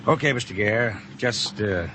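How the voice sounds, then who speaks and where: An actor playing an easygoing but watchful small-town policeman in an old-time radio drama, speaking in unhurried, folksy phrases. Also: lowish voice, lightly acted. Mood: casual, probing. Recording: noisy old radio broadcast recording.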